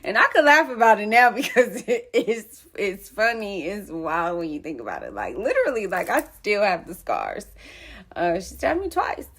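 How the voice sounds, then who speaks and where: A woman's voice: mid-range voice, very animated, normal speed, a bit bright. A young woman telling a personal story in a candid, rambling way, full of "like" and "um". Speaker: poet and storyteller vlogger. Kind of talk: casual storytelling monologue.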